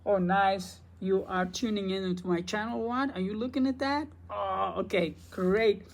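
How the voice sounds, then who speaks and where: A man's voice: sits high, normal speed, gravelly. Man, Belgian Dutch accent, native Dutch speaker, eager rambling vlog chat to camera. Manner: excitable, rambling, chatty with restarts. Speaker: spiritual teacher and vlogger. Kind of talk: casual talk to camera.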